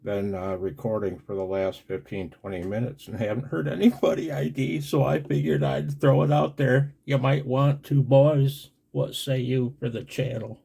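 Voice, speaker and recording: An older man from the United States in a casual, unscripted vlog as a radio operator, blunt and wry with a gravelly, husky voice. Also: mid-range voice, level tone, moderate pace, few pauses, dark tone. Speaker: amateur radio operator and video host. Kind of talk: casual, unscripted video commentary.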